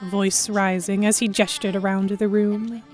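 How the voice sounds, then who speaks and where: Woman narrating a story over soft music. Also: mid-range voice, little pitch movement, a little slow. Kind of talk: scripted first-person story narration.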